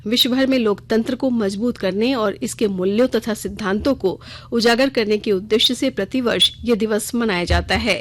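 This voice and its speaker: A woman's voice: fairly high pitch, moderate pace, hardly any pauses. A woman news reader delivering a scripted radio bulletin in a formal news-reading style.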